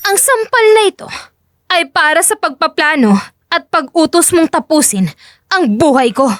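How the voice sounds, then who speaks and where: Woman acting a fierce high-pitched vengeful wife. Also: expressive, moderate pace, a bit bright, no echo. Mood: furious. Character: vengeful young wife, secret agent, cold and fierce.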